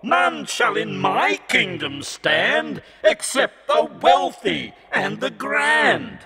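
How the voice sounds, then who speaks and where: Scornful shouting devil in a recited ballad, pitched way up and gravelly, as a man plays him. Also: wildly swooping pitch, very slow, faint music behind, exaggerated voice. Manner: shouting, sneering, high strained voice. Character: Satan, scornful male devil who mocks the poor. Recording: long reverb hall.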